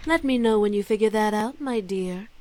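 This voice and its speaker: A woman's voice: mid-range voice, moderate pace, a little mellow, lightly acted. A woman playing a haughty, seductive demon villainess in a visual novel, with a mocking, scornful delivery. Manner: mocking, taunting.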